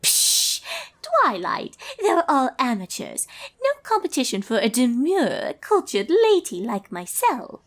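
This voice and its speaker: Posh-acting girly cartoon pony, super high, swoopy, dramatic and vain. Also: wildly swooping pitch, slow pace, few pauses, a bit bright, full character voice. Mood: dismissive. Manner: affected, theatrical, ladylike. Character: refined, vain, excitable female cartoon pony socialite.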